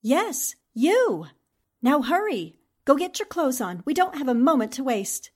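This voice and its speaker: A woman acting a brisk, eager newspaper reporter in a 1940s-style radio drama. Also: high voice, big pitch swings, a little mellow. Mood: brisk, bossy.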